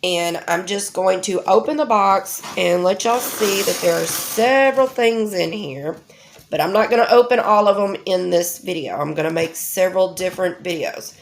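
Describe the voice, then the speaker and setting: A woman's voice: medium pitch, normal speed, a little hiss or hum. A woman, stuffy and nasal from a head cold, chatting casually as the host of a crafting unboxing vlog. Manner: chatty, homey, with many ums.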